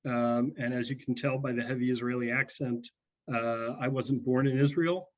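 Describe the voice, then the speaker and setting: An older man in his sixties from North Carolina speaking as a guest over a video link. He pauses a lot with fillers. The call audio is dull and lo-fi. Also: mid-range voice, fairly even intonation, a little hiss or hum. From North Carolina, USA. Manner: hesitant, many fillers.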